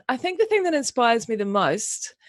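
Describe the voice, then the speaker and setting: A woman's voice: a little high, big pitch swings, a little slow, no room sound. Fifties woman from New Zealand, earnest interview guest, dry voice. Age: 50s. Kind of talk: radio interview.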